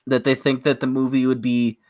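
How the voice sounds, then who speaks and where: A man co-hosting a podcast, chatting casually and giving opinions with a mock-exasperated, animated way of talking. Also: mid-range voice, a little fast, dry recording, clean studio sound. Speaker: podcast co-host. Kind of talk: casual opinionated chat about movies and games.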